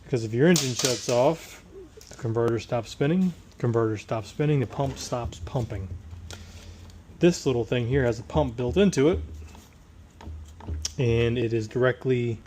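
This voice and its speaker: A man with a hoarse voice, recovering from tonsillitis, casually talking through a transmission teardown as he works. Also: medium pitch, moderate pace, long pauses, a little mellow, a little hiss or hum. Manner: plain-spoken, working while talking. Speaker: transmission rebuilder. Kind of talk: casual shop walkthrough.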